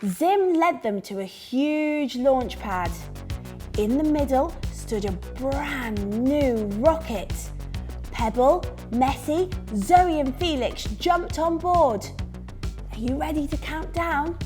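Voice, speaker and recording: A woman reading a scripted story to young children with expressive, dramatised delivery. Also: sits high, slow. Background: music under the reading.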